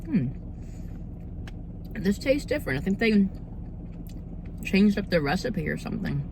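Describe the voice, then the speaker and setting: A young woman with a slightly hoarse, under-the-weather voice, chatting casually and informally as she hosts a food review vlog. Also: mid-range voice, sing-song, measured pace, noisy background. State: slightly hoarse, getting over a cold. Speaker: host of a cookie review video series.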